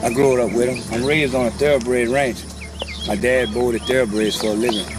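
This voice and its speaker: A man's voice: mid-range voice, fairly even intonation, moderate pace, over loud music. A man talking casually in a documentary interview about his work as a horse trainer. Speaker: prison inmate, horse trainer.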